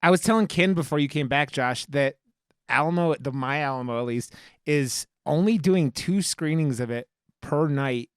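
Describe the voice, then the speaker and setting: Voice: medium pitch, moderate pace, clean tone, no room sound, studio quality. A podcast host chatting casually, with teasing, profane joking. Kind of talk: casual banter on a film review podcast.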